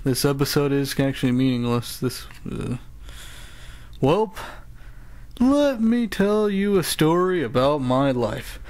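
A sulky cartoon announcer, voiced by a man, who whines and sighs his way through sarcastic gripes about being broke. His voice sits a bit high and his delivery is slow and drawn out. The sound is dry and close, with no room around it. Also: very animated, darkish tone, no room sound. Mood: grumbling. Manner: whiny, complaining and sarcastic, with many sighs and groans. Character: grumbling, broke adult male cartoon show announcer. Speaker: show announcer. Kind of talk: comedic cartoon voice acting.

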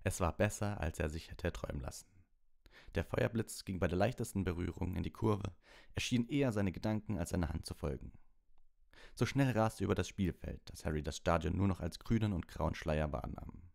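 A man's voice: sits low, fairly quick, vocal fry, darkish tone. A man reading a story expressively as an audiobook narrator.

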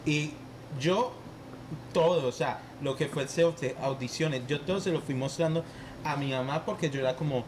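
A man's voice: on the high side, normal speed, a little hiss or hum. A young man, a film director leading a casual podcast conversation with his cast. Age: young adult. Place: shop with shelves of goods, indoors. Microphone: podcast mic on boom arm.

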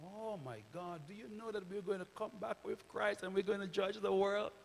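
A middle-aged man preaching a sermon on a church stage with a handheld microphone, swinging from loud shouts to hushed near-whispers. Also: high voice, moderate pace, creaky, slight background noise. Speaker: minister. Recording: reverberant church hall.

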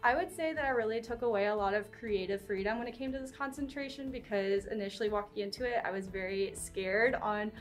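A woman's voice: medium pitch, fairly quick, few pauses, soft background music. A young woman design student giving thoughtful interview answers. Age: young adult.

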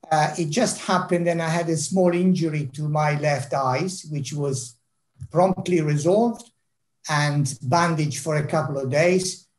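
A man's voice: fairly high pitch, a little mellow. A middle-aged man from Italy with an Italian accent, presenting with dry self-deprecating humour over a video call from a home office. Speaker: heart surgeon giving a talk.

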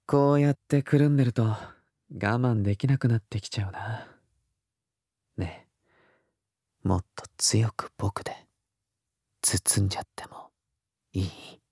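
A man playing a gentle, teasing young boyfriend in an intimate ASMR roleplay, with a soft, breathy, murmuring delivery. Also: lowish voice, dry recording, clean studio sound, exaggerated voice. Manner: slow, tender, murmuring, slipping into whispers. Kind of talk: intimate ASMR boyfriend roleplay in Japanese audio drama style.

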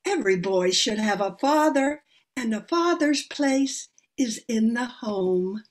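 A woman's voice: fairly high pitch, animated, slow pace. An older woman playing a meddling, proper old aunt with an earnest, moralizing manner in an amateur radio play. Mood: earnest, pleading. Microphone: computer on video call.

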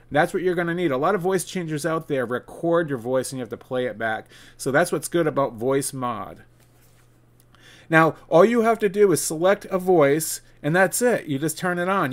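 A man in his forties with a slightly high voice walks viewers through a tech setup. He is casual and plain-spoken, explaining each step directly to the audience as a video creator would. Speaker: video creator, tutorial presenter. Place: indoor room, seated at a desk.

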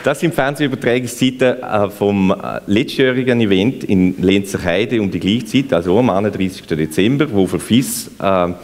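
Young man, low-pitched co-presenter, reverberant hall. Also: moderate pace, hardly any pauses, a little mellow, a faint crowd. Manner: loose, chatty, with fillers. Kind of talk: stage presentation in dialect. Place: event hall, on stage. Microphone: handheld mic.

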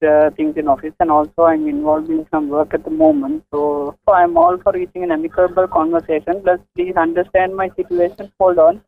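A man's voice: on the high side, flat delivery, fairly quick, few pauses. A man talking hesitantly and politely on a phone, repeating himself as he explains. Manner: hesitant, repetitive, polite protesting. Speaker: prank call victim. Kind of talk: phone conversation. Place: office. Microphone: telephone. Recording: tinny narrow phone line.

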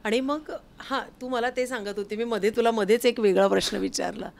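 A woman's voice: a little high, a little fast, creaky. A middle-aged woman hosting a casual interview, thinking aloud as she frames her questions. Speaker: host, interviewer. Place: recording studio, indoors. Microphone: studio mic on a stand.

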